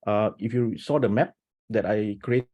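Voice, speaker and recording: A teacher on a video call webinar, speaking with quick, animated energy and plenty of restarts. His voice sits low and mellow, with a husky edge. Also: muffled recording. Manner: animated, talks fast with many restarts and rhetorical "right?". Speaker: teacher presenting at an education webinar. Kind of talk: enthusiastic webinar talk.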